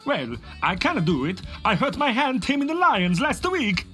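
A man's voice: very high voice, very animated, normal speed, sharp tone, soft background music, exaggerated voice. A man voicing a pompous, squabbling circus acrobat brother with showy, theatrical delivery in a video game. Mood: squabbling, indignant. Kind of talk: theatrical cartoonish game acting.

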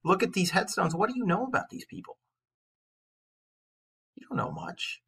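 A man's voice: sits high, moderate pace, a bit bright, husky. A man in his forties, an English teacher, giving an informal literature lecture in an earnest, conversational, emphatic way.

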